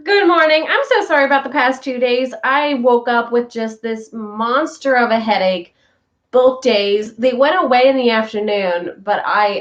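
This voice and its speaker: Chatty woman, slightly stuffy, lo-fi sound. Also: on the high side. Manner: chatty, rambling, animated. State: stuffy from sinus trouble. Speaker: vlog host, voice worker. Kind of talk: casual morning chat to viewers. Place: at home.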